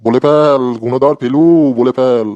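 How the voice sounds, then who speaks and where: A man's voice: mid-range voice, steady tone, a little slow, muffled recording, noisy background, natural voice. A man voicing a sarcastic, exasperated male schoolteacher who scolds and mocks his pupils in a comedy cartoon. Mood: mocking, scolding. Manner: scolding, mocking, repeats phrases. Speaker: English teacher in a comedy cartoon.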